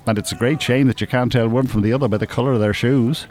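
A man's voice: mid-range voice, steady tone, quick pace, darkish tone, close to an everyday voice. An actor playing a wry, worldly, cynical older man with an Irish accent in a naturalistic radio drama. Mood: cynical, explaining. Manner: dry, teasing, opinionated.

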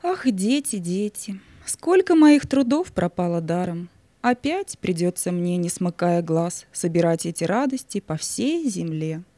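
A woman playing the spirit of Night in an audio play, stately and condescending, with a theatrical, drawn-out delivery. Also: on the low side, very animated, dark tone, no room sound. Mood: lamenting. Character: Night, stately female spirit guarding her secrets, motherly yet cunning.

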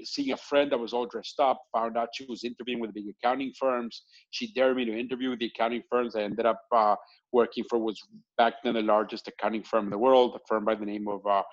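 A man from Colombia telling his story as an interview guest, talking casually and haltingly with many fillers and restarts. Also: medium pitch, muffled recording. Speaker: guest entrepreneur. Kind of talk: casual interview on a video call.

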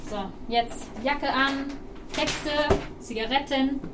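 A woman's voice: sits high, fairly even intonation, slow. A woman playing a bossy, impatient manager of an actor in an audio sketch, commanding and blunt. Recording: echoey room with clear background noise.

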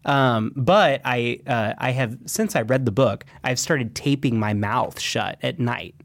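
A man's voice: medium pitch, vocal fry, dry recording. Rambling male co-host, thirties, dry close recording. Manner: rambling, halting with fillers. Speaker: radio show co-host. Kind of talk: casual chat.

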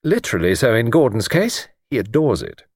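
A man's voice: medium pitch, very animated, brisk, a little mellow, breathy edge, no echo. A man voicing a cool, amused young English woman with a dry, composed, ironic manner.

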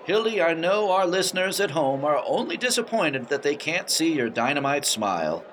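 Patronizing 1930s announcer, animated and high, smooth-talking comic delivery, faint noise. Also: male voice, moderate pace. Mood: smarmy. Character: smarmy, patronizing male radio studio anchor. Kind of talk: 1930s radio announcer acting.

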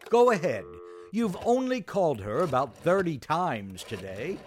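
An actor playing an amused best pal who hands out advice in an old-time radio sitcom. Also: male voice, on the high side, very animated, unhurried, slight background noise. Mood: calming.

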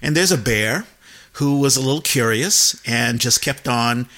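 A man's voice: medium pitch, a little slow, pushed and bright. A man hosting a casual talk show, chatting and telling anecdotes in a conversational way. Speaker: podcast host. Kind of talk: casual chat.

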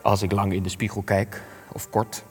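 A man's voice: on the low side, flat delivery, normal speed, darkish tone, close to an everyday voice. Man acting a wry old war veteran, echoing hall. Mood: weary, rueful. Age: young adult. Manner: formal, dryly ironic, hesitant. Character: old male resistance veteran, wry, evasive, world-weary. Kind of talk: Dutch-language radio play acting. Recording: long echoing hall, music underneath.

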